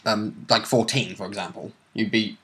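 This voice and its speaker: A man's voice: fairly low pitch, fairly even intonation, normal speed, fairly bright tone. A man, a co-host chatting casually and chipping in with reactions and asides. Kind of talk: casual chat, reacting and agreeing.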